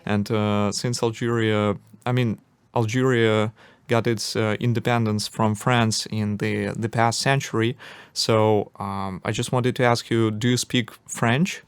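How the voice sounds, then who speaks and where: A man's voice: on the low side, level tone, measured pace, hardly any pauses, smooth, no echo. A man hosting a podcast, asking questions in a casual way, searching for words with many fillers. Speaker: podcast host.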